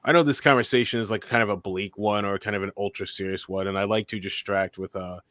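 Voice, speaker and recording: A man speaks in a flat, monotone way, his voice sitting a little low. The recording is dry and close, with no room sound around him. He answers interview questions in a relaxed, conversational manner. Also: fast talker, no echo. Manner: monotone, steady delivery. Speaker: guest filmmaker and commentator.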